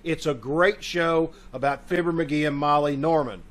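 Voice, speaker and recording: A man's voice: fairly high pitch, normal speed. A man giving a casual spoken introduction and announcements as host of a community radio theater show, speaking over a video call.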